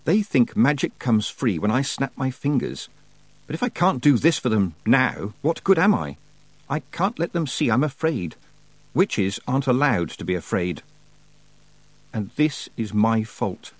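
A young teenage witch, earnest and worried but determined read by a man. The delivery is lightly raised and expressive, in a dry, close storybook recording. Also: medium pitch, measured pace, dry recording. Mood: anxious. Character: thirteen-year-old girl witch, anxious but resolute.

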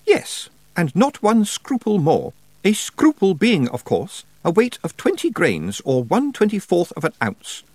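A man voicing a prim, clever, pedantic witch who teaches, speaking slowly and precisely. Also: high voice, huge pitch swings, darkish tone, no room sound, voice pushed up, full character voice.

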